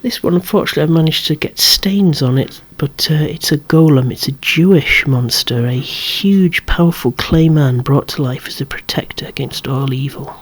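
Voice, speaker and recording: A breathy, husky whisperer presents a collection in ASMR style. The delivery is hushed and unhurried, at a middling pitch. It wanders into musing, wry little asides. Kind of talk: whispered ASMR show-and-tell.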